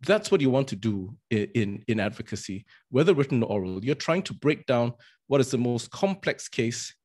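A man's voice: medium pitch, big pitch swings, normal speed, a little mellow. A middle-aged man from Singapore, a barrister and arbitrator, gives an earnest webinar talk with frequent restarts and fillers. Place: indoors with virtual green backdrop. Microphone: video call, webcam.

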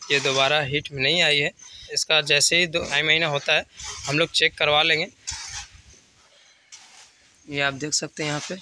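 Bright-voiced Bihari dairy farmer vlogging. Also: male voice, fairly high pitch, a little hiss or hum. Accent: Bihari Hindi. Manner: conversational, explanatory, mixes English words. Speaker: dairy farmer and channel host. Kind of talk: casual walkthrough vlog. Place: open-sided cattle shed outdoors.

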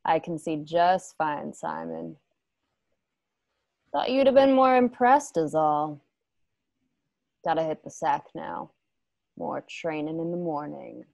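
A woman's voice: low-pitched, takes it slowly, vocal fry, long pauses, darkish tone, dry recording, full character voice. An actress playing a hardened, blunt, vengeful young woman in a radio play. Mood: cold, defiant. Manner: blunt, foul-mouthed. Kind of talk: remote radio play acting.

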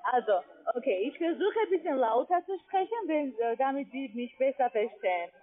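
Really high voiced telesales lady, reading her pitch down a tinny phone. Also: lively intonation, normal speed. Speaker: call centre sales agent. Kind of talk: scripted phone sales pitch. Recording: muffled tinny phone line.

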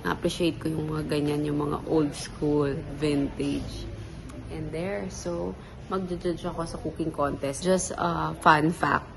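A woman in her thirties with a Filipino accent, vlogging outdoors on a phone at arm's length, excitable and gushing, mixing English into Tagalog. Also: sits low, takes it slowly, gravelly, background noise. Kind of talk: casual travel vlog. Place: outdoors at a town plaza and market. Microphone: phone held at arm's length.

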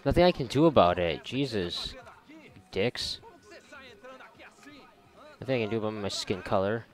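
A man's voice: on the low side, lively intonation, moderate pace. A man giving casual let's play commentary in a sarcastic, joking and profane manner. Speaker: gamer, video creator. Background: game music.

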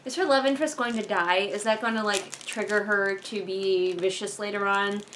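A woman's voice: mid-range voice, normal speed, a bit bright. A young American woman giving casual book commentary in a rambling, bluntly opinionated way, talking as a vlogger in a living room. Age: young adult. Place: living room couch, indoors. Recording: background noise in a living room.

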